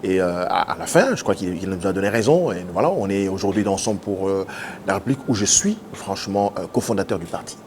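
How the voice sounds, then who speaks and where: A man, answering as a politician guest in an earnest, halting way with many filler sounds. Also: low voice, animated, a little mellow, a little hiss or hum. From Democratic Republic of the Congo. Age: 50s. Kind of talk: TV interview answers.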